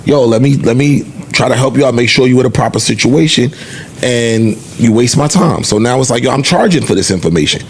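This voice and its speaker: A man's voice: medium pitch, animated, a little fast, a little hiss or hum. A man in casual podcast panel talk, explaining his points in a conversational way. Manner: explanatory, quotes others conversationally.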